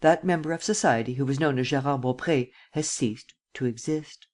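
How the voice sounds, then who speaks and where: Male reader as commanding prince, sardonic, deliberate, imperious, persuasive, audiobook villain-hero. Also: medium pitch, darkish tone, natural voice. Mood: firm, grave. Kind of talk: dramatic audiobook character acting.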